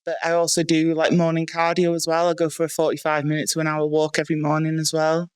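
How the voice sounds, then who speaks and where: A Welsh woman in her twenties, a strength athlete, talking as a podcast guest in a relaxed, matter-of-fact way with many ums and likes. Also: low-pitched, fast, smooth, no echo, studio quality. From North Wales. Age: 20s. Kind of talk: casual podcast interview. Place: small dry room in a house.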